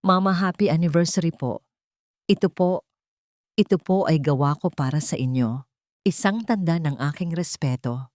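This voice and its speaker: A woman voicing a meek, respectful daughter-in-law, soft and timid, in an audio story. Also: deep voice, normal speed, long pauses, no room sound, studio quality, natural voice.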